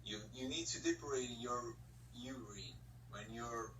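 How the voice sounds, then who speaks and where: A man from Brazil with a Brazilian Portuguese accent, an English learner who pauses and searches for words. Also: mid-range voice, bright, forceful tone, reverberant, far from the mic, slight background noise, low-quality recording. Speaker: English student. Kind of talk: online conversation lesson.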